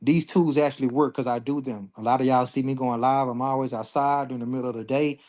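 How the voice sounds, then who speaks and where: A man's voice: medium pitch, fairly even intonation, fast talker, darkish tone. A man in his forties hosting an astrology livestream, talking casually and bluntly, with lots of profanity, and getting worked up when he rants. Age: 40s.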